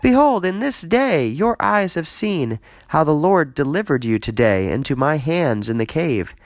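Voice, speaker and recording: A man's voice: on the high side, very animated, unhurried, no room sound, pitched above the performer's own voice. A man reading scripture aloud, voicing a young man who pleads earnestly and reverently with his king in a raised voice. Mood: pleading.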